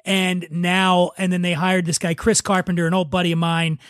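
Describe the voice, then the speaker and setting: A man's voice: high-pitched, monotone, moderate pace, fairly bright tone, dry recording, studio quality. A man hosting a podcast, chatting casually and explaining things with enthusiasm and the odd swear word. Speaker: podcast host. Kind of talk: casual chat about wine.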